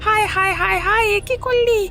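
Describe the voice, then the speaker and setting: A menacing cartoon ghost, extremely high and piercing. The voice creaks as it taunts and scolds theatrically, over music. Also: female voice, steady tone, brisk, bright, forceful tone, exaggerated voice. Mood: panicked, wailing.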